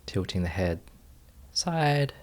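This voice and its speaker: A man leading a guided meditation as a teacher, speaking gently in short, unhurried phrases with long pauses. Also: low-pitched, sing-song.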